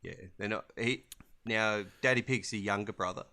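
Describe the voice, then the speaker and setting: An Australian man co-hosts a podcast in a low voice with a slightly gravelly edge. He talks in a relaxed, halting way, full of ums and restarts. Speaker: podcast co-host. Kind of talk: casual podcast chat.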